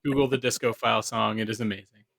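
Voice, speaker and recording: A man's voice: fairly low pitch, steady tone, fast talker, creaky, a bit bright, no echo, clean studio sound. A man chatting casually as a producer and panelist on a podcast. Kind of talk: casual chat.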